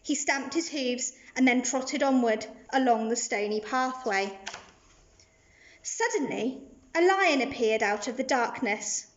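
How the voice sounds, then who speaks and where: A woman's voice: high voice, animated, measured pace, muffled recording. A woman teacher reading a children's storybook aloud as narration.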